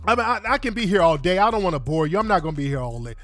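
A man's voice: high-pitched, big pitch swings, rapid-fire, a bit bright, dry recording, soft background music. A congested man ranting bluntly and profanely to his viewers in an exasperated commentary. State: congested from a cold. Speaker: online commentator.

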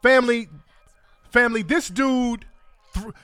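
A man's voice: very high voice, measured pace, bright, forceful tone, clean tone, no room sound, faint music behind. A congested man ranting bluntly and profanely to his viewers in an exasperated commentary. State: congested from a cold. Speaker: online commentator.

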